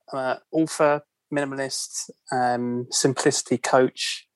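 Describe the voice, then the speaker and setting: A man's voice: mid-range voice, dry recording, low-quality recording. A man with a London accent, a podcast guest chatting casually with many ums and self-deprecating asides. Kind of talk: casual interview chat.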